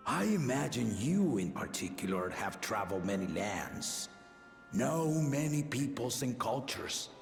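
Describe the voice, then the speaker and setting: An actor playing an aging, battle-loving king and father in a video game, grave and shrewd, with a measured, regal delivery. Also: lowish voice, gravelly, echoey room, in a large hall, full character voice. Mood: solemn, hopeful. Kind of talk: scripted video game voice acting.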